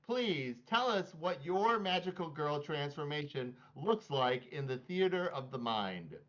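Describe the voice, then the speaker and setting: Man, high lively voice, game teacher, playful, lo-fi muffled sound. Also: a little slow. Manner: excitable, playful. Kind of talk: explaining a board game. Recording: dull lo-fi audio.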